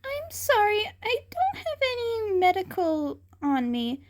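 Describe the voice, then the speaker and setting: A woman voicing a bright, cheeky teenage vigilante boy in a story reading, light and eager. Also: extremely high pitch, wildly swooping pitch, slow pace, pitched above the performer's own voice, exaggerated voice. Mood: concerned, apologetic.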